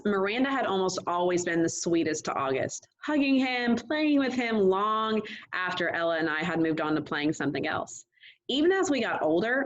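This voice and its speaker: A woman's voice: on the low side, dull audio, a little hiss or hum. A woman reading a novel aloud in a casual, conversational way, talking directly to her listeners. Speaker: host reading a book aloud. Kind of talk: read-aloud of a first-person novel, conversational.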